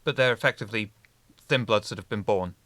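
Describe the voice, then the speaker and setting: A man's voice: lowish voice, fast talker, fairly bright tone, no room sound. A man running a tabletop chronicle, explaining game lore at length in casual podcast chat.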